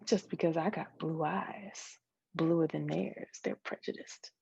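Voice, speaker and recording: A woman's voice: deep voice, fairly even intonation, slow pace, gravelly, darkish tone, breathy edge, dry recording, lo-fi sound, pitched below the performer's own voice, heavily acted. A woman voicing a proud, needy young girl who is childlike, insistent and full of questions, in a literary read-aloud. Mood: anxious, needy.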